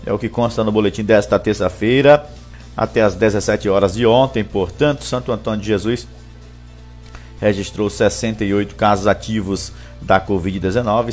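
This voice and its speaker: A man's voice: fairly low pitch, steady tone, moderate pace, a little mellow, faint music behind. A man from Brazil, presenting a local news bulletin live on a headset microphone. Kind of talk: reading local news, greeting viewers live.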